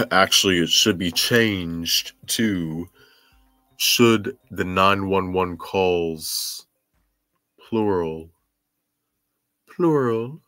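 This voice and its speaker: Deep-voiced, slow-talking male podcast host. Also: fairly even intonation, bright, forceful tone, no echo, soft background music. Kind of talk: casual true crime commentary.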